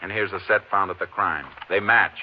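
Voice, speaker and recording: Man playing stern lawman, deep voice, terse, methodical, 1950s radio drama, muffled old recording. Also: fairly quick, slight background noise, close to an everyday voice. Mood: stern, probing. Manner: terse, clipped, pressing questions. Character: stern, methodical Texas Ranger lawman interrogator.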